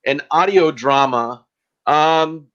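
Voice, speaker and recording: A man hosting a casual podcast in an informal, halting, promotional way. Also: on the high side, fairly bright tone, clear, resonant tone, highs cut off. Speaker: podcast host.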